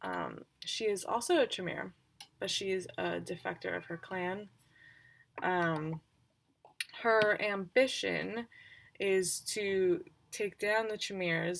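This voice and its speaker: Female game master, informal livestream recap. Slow, halting delivery, gravelly fry, thinks aloud. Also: fairly low pitch, fairly bright tone. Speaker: game master introducing player characters. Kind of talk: casual recap of roleplaying characters on a livestream.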